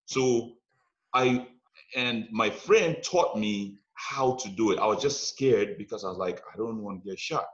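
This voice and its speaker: A man with a fairly low voice tells a long personal story in a loose, animated way, constantly checking in with his listeners. The recording sounds roomy and a bit muffled, like a homemade podcast. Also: unhurried. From United States. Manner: animated, street-talk storytelling with frequent "you know what I'm saying". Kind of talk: casual storytelling chat.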